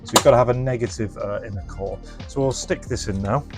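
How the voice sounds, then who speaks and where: A man's voice: mid-range voice, fast, over music. A man casually presenting a hands-on product demonstration, explaining informally and thinking aloud as he goes. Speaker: presenter and reseller of restored retro electronics.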